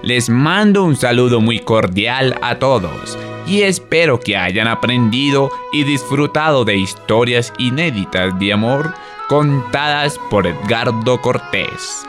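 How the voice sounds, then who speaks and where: Colombian guy storyteller, slow scripted radio narration, medium pitch, music behind him. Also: expressive. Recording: music under the voice.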